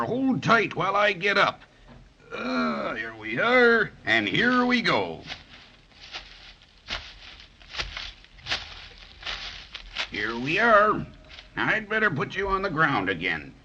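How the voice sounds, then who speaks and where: An actor playing a kindly, lonesome giant in a 1930s children's radio serial, with a folksy, reassuring manner. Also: male voice, high voice, wildly swooping pitch, a little slow, bright, forceful tone, slight background noise, exaggerated voice. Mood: obliging. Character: gentle, lonesome, kindly male giant in a fairy tale. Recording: dull 1930s radio transcription recording.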